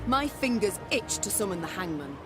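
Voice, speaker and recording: A stern queen from a fantasy video game, voiced by an actress. She speaks in formal, archaic phrasing with imperious command. Music plays clearly behind her. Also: medium pitch, sing-song, normal speed, lightly acted. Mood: stern, accusing. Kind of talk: scripted video game voice acting.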